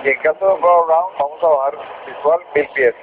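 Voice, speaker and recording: A man's voice: on the high side, a little fast. A Venezuelan man, an airline pilot talking in the cockpit and making radio calls. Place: aircraft cockpit. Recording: muffled phone-like cockpit recorder, clear noise. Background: cockpit noise.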